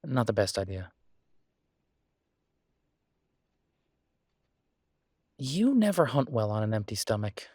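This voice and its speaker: A man's voice: lowish voice, sing-song, fairly quick, darkish tone, slightly rough, no echo, studio quality, full character voice. A man playing a caring, easygoing boyfriend in a roleplay audio drama, speaking casually and reassuringly, with small hesitations. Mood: tender, reassuring. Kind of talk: roleplay audio drama, one-sided intimate conversation.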